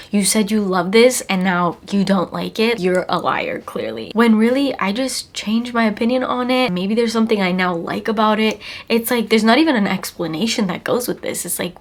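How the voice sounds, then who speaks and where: A young woman in her twenties with a congested cold voice, chatting casually to camera as a perfume reviewer, emphatic and repeating words for stress. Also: mid-range voice. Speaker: perfume reviewer, channel host. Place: small home room, indoors.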